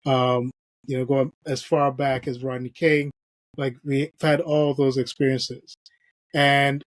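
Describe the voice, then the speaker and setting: A man hosting a podcast, earnestly reading from an article and filling pauses with "you know". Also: medium pitch, dry recording. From Barbados. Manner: earnest, reads aloud from an article with frequent fillers. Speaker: podcast host. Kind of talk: podcast discussion.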